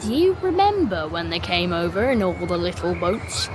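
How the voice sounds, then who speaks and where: A woman's voice: on the low side, sing-song, moderate pace. A woman voicing a bossy, exasperated young older sibling in a video game, insistent and flustered. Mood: scolding. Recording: clear background noise.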